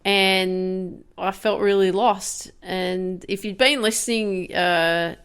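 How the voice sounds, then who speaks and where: A woman in her fifties hosting a podcast, speaking candidly and haltingly in a reflective personal monologue, with many restarts and fillers. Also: medium pitch, steady tone, fairly bright tone, clean tone. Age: 50s. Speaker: podcast host.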